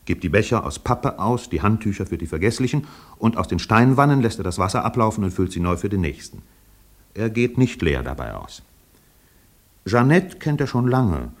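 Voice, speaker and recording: Narrator in a radio drama, deep voice, solemn poetic storytelling. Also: male voice, darkish tone. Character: male narrator of a literary radio play. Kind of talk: scripted literary narration.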